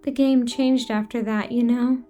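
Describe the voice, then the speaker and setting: A woman playing a dreamy young girl in an audio horror drama, speaking in a slow, lazy, dreamlike way. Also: fairly high pitch, steady tone, dark tone, faint music behind, lightly acted. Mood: dreamy, wistful. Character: dreamy young girl recalling childhood games with her father.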